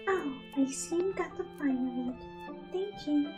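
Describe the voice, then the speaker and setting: A woman voicing a gentle, timid young female pegasus pony in a soft, quiet, kindly way, with music behind. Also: high voice, measured pace, highs cut off, pitched above the performer's own voice. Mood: kindly, hospitable. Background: instrumental music.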